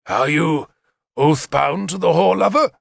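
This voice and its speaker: A man's voice: high-pitched, expressive, normal speed, vocal fry, bright, forceful tone, husky, no echo, studio quality, voice pushed up, full character voice. A man voicing an imperious, vengeful warlord king in a menacing, declamatory manner, as in an audiobook.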